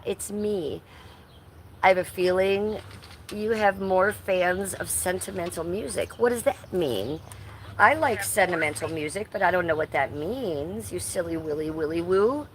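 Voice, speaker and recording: A woman's voice: medium pitch, unhurried, fairly bright tone. Chatty woman in her fifties, livestreaming. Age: 50s. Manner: chatty, greets viewers by name, playful. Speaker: livestream host. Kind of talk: casual live stream chat. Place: outdoors in a front yard. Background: faint ambient noise of roofers working nearby.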